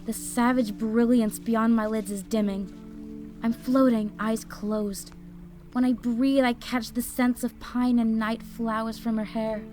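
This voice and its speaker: Slow, weary young mage. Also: female voice, a little high, fairly even intonation, a little mellow. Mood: dreamy, fading. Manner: dreamy, drifting, weary. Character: exhausted, injured young fire mage woman. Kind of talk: first-person audio drama narration. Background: music.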